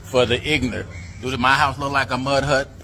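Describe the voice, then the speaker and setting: A man speaks at an everyday pitch, relaxed and preaching a little, recorded outdoors on a patio. Faint outdoor noise hangs behind him. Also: brisk. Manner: relaxed, preachy, calls viewers "y'all". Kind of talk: casual selfie vlog.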